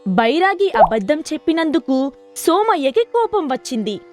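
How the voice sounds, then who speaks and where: A woman narrating a scripted moral story for children in an expressive, clearly phrased storytelling manner. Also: sits high, moderate pace, soft background music.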